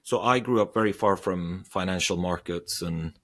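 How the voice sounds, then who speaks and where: A man's voice: deep voice, moderate pace, fairly bright tone. A man in his forties from Sweden, a guest investor answering questions thoughtfully, with frequent hesitations and restarts. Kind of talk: interview.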